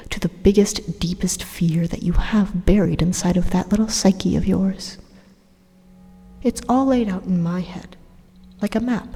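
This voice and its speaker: Woman voicing a breathy, menacing supercomputer in long reverb. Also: lowish voice. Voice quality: breathy, whispery. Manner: slow, deliberate, hushed monologue with dramatic pauses. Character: evil supercomputer machine, calmly menacing, persuasive would-be savior. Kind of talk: ASMR roleplay monologue.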